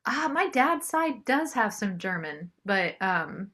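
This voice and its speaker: A woman's voice: medium pitch, very animated, slow pace, a bit bright. A middle-aged American woman chatting casually as a singer-songwriter being interviewed.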